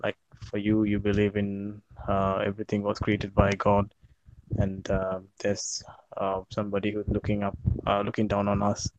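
A young man from Sri Lanka chatting casually, relaxed and hesitant with many fillers and laughs. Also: lowish voice, moderate pace. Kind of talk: casual chat. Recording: dull lo-fi online call audio with faint noise.

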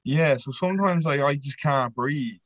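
A man's voice: on the high side, moderate pace, a bit bright, no room sound. A young man co-hosting a podcast in loose, sweary casual chat. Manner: loose and sweary, laughs often. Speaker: podcast co-host.

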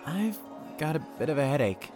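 A man's voice: a little high, very animated, brisk, music in the background. A man playing an easygoing, loyal young peacemaker in an audio drama, hesitant and conciliatory in manner. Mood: weary, conciliatory.

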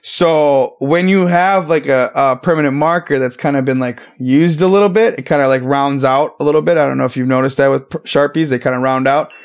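A man hosts a casual podcast chat in an easygoing, rambling way. His voice sits at an ordinary middle pitch, and he swears now and then without fuss. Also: fairly quick, hardly any pauses. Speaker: podcast host.

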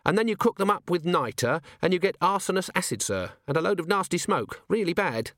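A man's voice: high-pitched, lively intonation, brisk, fairly bright tone, dry recording, studio quality, voice pushed up. A man voicing an eager, respectful female dwarf alchemist in an audiobook, deferential and chirpy.

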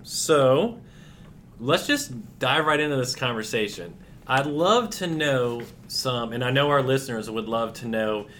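Upbeat male teacher hosting a school podcast, average pitch, light noise behind. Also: a bit bright. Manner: upbeat, welcoming.

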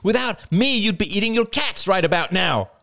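Guy doing a shrill high defensive mom, indignant, snapping back. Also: lively intonation, normal speed, vocal fry, a bit bright, full character voice. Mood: sneering. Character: indignant aging mother, defensive and sharp-tongued.

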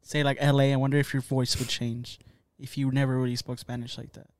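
A young man streaming casual chat, teasing his friend with jokes and loud swings in volume. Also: medium pitch, level tone, a little fast, no echo.

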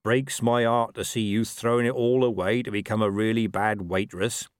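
A man's voice: fairly low pitch, level tone, moderate pace, dry recording, clean studio sound, natural voice. A man voicing a blunt dwarf watchman in an audiobook, teasing with mock sorrow. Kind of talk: audiobook character voice.

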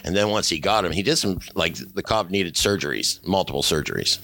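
An older man with a loud, very deep voice, telling rambling, profane stories while co-hosting a podcast. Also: fairly quick. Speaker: podcast co-host. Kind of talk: casual chat.

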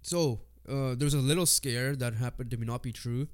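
Male sports podcast co-host, congested yet clear, informal, loose-tongued, mid-range pitch. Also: brisk. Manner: informal, swears casually. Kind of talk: casual sports chat, reading lists and predictions.

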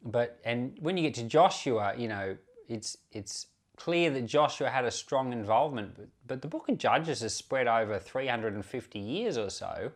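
A man's voice: medium pitch, animated, normal speed. A man with a mid-range voice speaks as a pastor presenting a Bible podcast. His intonation moves widely and expressively as he explains and reads scripture. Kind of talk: Bible teaching and scripture reading.

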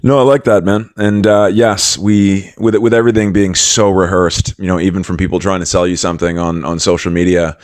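Male podcast host, lowish pitch, dry close sound. Chummy, loose, halting interview delivery. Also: steady tone, no room sound. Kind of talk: casual conversational interviewing.

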